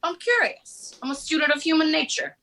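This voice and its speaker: A woman playing a brash, boastful, streetwise male carjacker in a comic table read, loud, swaggering and profane. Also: high-pitched, animated, a little fast, full character voice. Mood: cocky.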